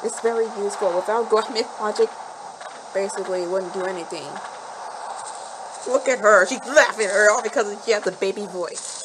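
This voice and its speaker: A woman giving casual let's-play commentary, excitable and chatty, talking straight to the game characters and repeating herself. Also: medium pitch, fairly quick, background noise. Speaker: gameplay commentator. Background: game music.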